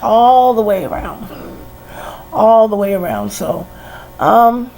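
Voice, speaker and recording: A middle-aged woman with a head cold, musing slowly and casually, sighing and laughing softly between many "you know"s. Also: mid-range voice, expressive, faint music behind, poor audio. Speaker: vlogger. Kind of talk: casual reflective monologue.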